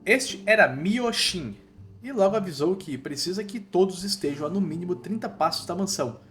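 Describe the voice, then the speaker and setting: A Brazilian man narrates a scripted recap in an informal, slangy way. His voice sits a little high and swings with very animated intonation. Faint music plays underneath the narration. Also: moderate pace. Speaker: narrator of manhwa recap videos.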